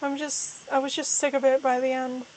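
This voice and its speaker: Hoarse young woman chatting on stream. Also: sits high, level tone, normal speed, darkish tone, a little hiss or hum, poor audio. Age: young adult. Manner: rambling, self-deprecating, frequent restarts. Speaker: VTuber streamer. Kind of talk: casual livestream chat.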